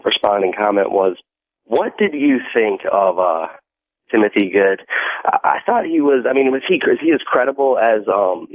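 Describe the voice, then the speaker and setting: An American man calling a radio show by phone, chatting casually and rambling his way toward the point. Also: on the low side. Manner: rambling, circling before the point. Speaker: first-time radio caller. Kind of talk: casual chat with a radio host. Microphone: telephone. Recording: narrow, tinny phone line on radio.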